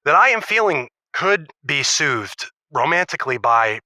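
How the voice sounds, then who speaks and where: A solemn, lovestruck widowed professor acted by a man phones a comedic radio show. His voice is very bright and tense, with lively swings in pitch and a dry sound. He talks haltingly, choosing words with melodramatic care. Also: no echo, full character voice. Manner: halting, melodramatic, over-careful. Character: solemn, suspiciously lovestruck widowed creative writing professor caller. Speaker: caller. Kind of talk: improvised comedic confession.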